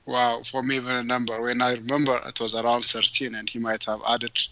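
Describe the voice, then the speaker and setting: A man from Ethiopia answers interview questions, pausing often and restarting his sentences. His voice is low, gravelly and very bright, almost sharp. The sound is dry and close, with no room around it. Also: level tone, no room sound, lo-fi sound. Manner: halting, many fillers and restarts. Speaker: guest entrepreneur.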